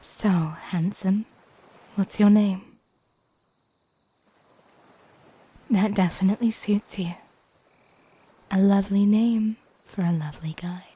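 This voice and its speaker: A woman playing a flirty, teasing mermaid in an intimate ASMR roleplay, coy and playful with breathy half-whispers. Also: mid-range voice, measured pace, warm tone.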